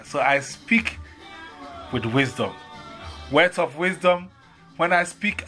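A man's voice: on the high side, bright, forceful tone. A man from Ghana with a Ghanaian accent, telling stories in casual chat and acting out both sides of the conversations.

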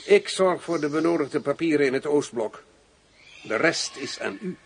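An actor playing a wary, suave general in a radio drama, speaking in a theatrical, insinuating way. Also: male voice, on the high side, animated, normal speed, close to an everyday voice. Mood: cunning, businesslike. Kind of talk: Dutch radio drama acting. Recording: noisy old radio drama recording.

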